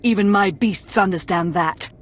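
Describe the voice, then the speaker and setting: A woman's voice: mid-range voice, normal speed, lightly acted. An actress playing a cruel Sith woman who keeps beasts, speaking in a cold, taunting way in a game cutscene. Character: cruel female Sith beast-tamer, gloating.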